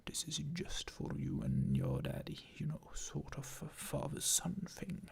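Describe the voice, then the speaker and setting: Brief: scheming male lion villain, whispered and mocking, gravelly edge, voiced by an ASMR narrator. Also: mid-range voice, unhurried, voice pushed down. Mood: sly. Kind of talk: whispered ASMR film retelling.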